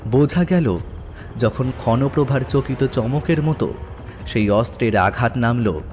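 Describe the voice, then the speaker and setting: Male narrator, horror storytelling, dramatic scripted delivery, animated intonation, soft background music. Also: medium pitch, a little slow.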